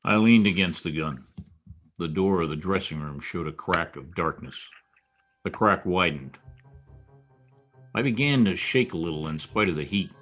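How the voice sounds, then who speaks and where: An actor playing a wisecracking, world-weary private detective in a noir radio drama, wry and sardonic. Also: male voice, deep voice, animated, moderate pace, long pauses, fairly bright tone, faint music behind. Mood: grim narration. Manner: wry, sardonic, clipped tough talk. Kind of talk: old-time radio noir acting and first-person narration.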